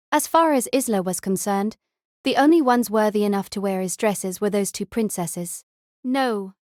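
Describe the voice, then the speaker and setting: A woman's voice: medium pitch, dry recording, clean studio sound. A woman reading scripted fiction narration as the narrator of a novel.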